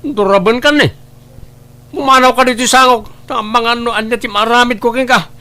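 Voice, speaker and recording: A man acts out a scornful Ilocano husband in a family radio drama. His voice sits high for a man, bright and forceful, and he sounds quarrelsome and quick to temper. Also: fairly even intonation, clear, resonant tone, heavily acted. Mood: angry, scornful.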